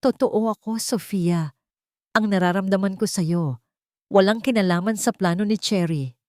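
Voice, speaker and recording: A woman's voice: medium pitch, moderate pace, a little mellow, no room sound, clean studio sound, natural voice. Woman voicing a desperate, pleading male lover.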